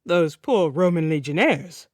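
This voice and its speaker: A woman's voice: low voice, lively intonation, unhurried, dry recording. A genial Scandinavian-sounding king of an audiobook saga, put on by a woman narrator. He is chatty and teasing with a casual menace and a Nordic accent. The sound is dry and close. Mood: effusive.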